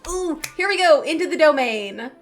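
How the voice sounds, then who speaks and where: A woman's voice: high voice, animated, moderate pace. A lighthearted, enthusiastic woman giving casual gameplay commentary as a streamer. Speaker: game streamer. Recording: game music under the voice.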